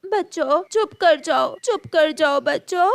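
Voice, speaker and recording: A gentle, motherly cartoon crow acted by a woman talks slowly in a high voice. She is solemn and soothing, a consoling neighbour aunt, heard in a dry recording. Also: lively intonation, creaky, dry recording, full character voice.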